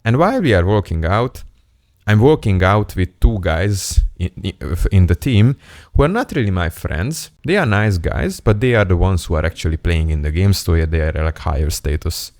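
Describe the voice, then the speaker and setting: A man's voice: low-pitched, animated, normal speed, a little mellow, no room sound, studio quality. A young man, from Hungary, telling a personal story to camera in a playful, self-mocking, candid way. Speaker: self-improvement YouTuber. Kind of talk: casual storytelling monologue to camera.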